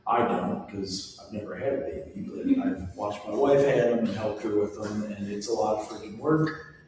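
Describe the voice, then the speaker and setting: A man's voice: on the low side, normal speed, a little mellow, slight background noise. A man in his forties giving a casual classroom lecture with chatty personal asides. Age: 40s. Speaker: instructor. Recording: echoey hall recorded from far off.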